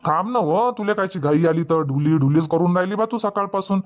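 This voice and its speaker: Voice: mid-range voice, fairly quick, natural voice. A performer voicing an argumentative male farmer in a comic cartoon, with a rustic Varhadi Marathi accent and grumbling manner. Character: easygoing but argumentative male village farmer husband. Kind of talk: comic cartoon dialogue dubbing.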